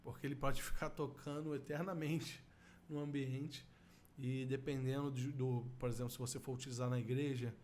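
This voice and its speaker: A middle-aged Brazilian man explains things step by step in an informal software tutorial. His voice sits at an average pitch, recorded in a small room with faint background noise. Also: flat delivery, moderate pace. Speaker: audio effects instructor.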